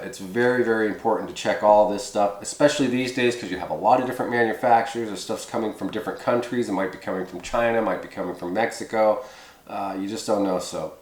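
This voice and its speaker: A man giving an informal, unscripted hands-on tutorial, explaining things in a rambling, hesitant way with frequent pauses. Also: lowish voice. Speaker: presenter of a CB radio how-to video. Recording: echoey room with background noise.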